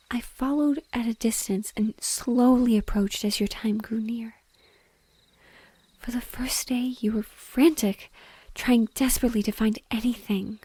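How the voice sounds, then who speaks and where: A woman voicing a mournful, gently loving banshee in a breathy whisper, slow and tender, as in an intimate audio roleplay. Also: fairly high pitch, dry recording. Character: sorrowful female banshee, gentle and lovingly devoted.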